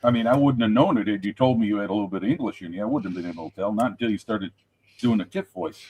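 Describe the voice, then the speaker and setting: A man's voice: on the low side, rapid-fire, a little mellow, slight background noise. A man hosting a casual live modelling stream, rambling and jokey, often restarting his sentences. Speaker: stream host and model builder.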